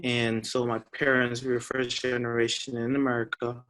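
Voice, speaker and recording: A man's voice: medium pitch, level tone, fairly bright tone, slight background noise, poor audio. Guy from guyana on a panel call, mid voice, stop-start telling his story. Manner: halting, restarts, uneven emphasis. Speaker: graduate student panelist. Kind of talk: personal account. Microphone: video call.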